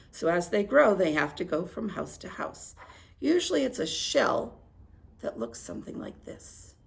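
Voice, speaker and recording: Animated, gravelly-edged woman reading to preschoolers. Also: medium pitch, slight background noise. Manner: animated, expressive, explanatory asides to children. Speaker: preschool teacher. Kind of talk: storybook read-aloud for young children.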